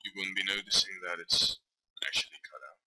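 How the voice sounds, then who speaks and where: Man, low-ish voice, very bright tone, halting tutorial talk with fillers. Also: steady tone, dry recording, rough recording. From Belgium. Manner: hesitant, many fillers and self-corrections. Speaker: tutorial maker. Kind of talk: casual screen-recorded software tutorial. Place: at a computer desk.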